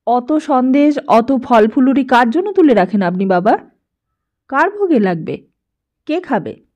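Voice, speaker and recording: A woman's voice: sits high, big pitch swings, unhurried, lo-fi sound, heavily acted. A woman voicing a dutiful, plain-spoken mother in an audiobook, chiding and questioning in a firm manner. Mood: reproachful.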